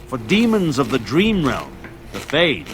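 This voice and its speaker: An actor playing a stern, imposing templar knight commander in a fantasy video game, speaking in a solemn, commanding way. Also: male voice, mid-range voice, wildly swooping pitch, a little slow, background noise. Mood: solemn warning.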